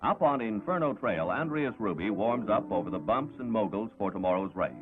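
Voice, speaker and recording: A man's voice: on the low side, animated, a little fast. A man reading scripted sports narration in a 1960s newsreel style. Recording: tinny 1960s film soundtrack with music.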